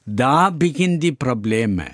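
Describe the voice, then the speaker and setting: A middle-aged man from India, a native Tamil speaker with an Indian accent, answering interview questions as a pastor in halting speech full of fillers. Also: mid-range voice, animated, no echo, studio quality.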